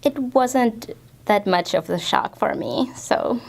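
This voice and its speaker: Creaky young Finnish woman. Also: on the high side, animated, slow pace. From southern Finland. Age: young adult. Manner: brief, plain answers in careful English. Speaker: guest, student teacher. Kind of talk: casual interview answers.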